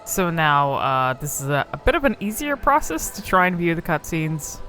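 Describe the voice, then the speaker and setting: Bright, crisp casual gaming commentator over music. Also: medium pitch, big pitch swings, moderate pace, poor audio. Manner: informal, exploratory, thinking aloud. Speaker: video creator.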